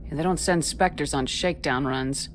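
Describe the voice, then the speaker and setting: Actress as composed, low-voiced military commander. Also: female voice, normal speed, slight background noise, lightly acted. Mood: wry concern. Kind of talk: video game dialogue.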